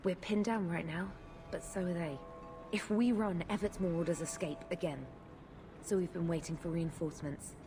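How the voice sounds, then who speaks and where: A woman's voice: lowish voice, steady tone, normal speed, noisy background, lightly acted. A woman playing an embattled, dutiful guard lieutenant with a blunt soldierly manner in a video game. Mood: determined. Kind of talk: video game cutscene acting.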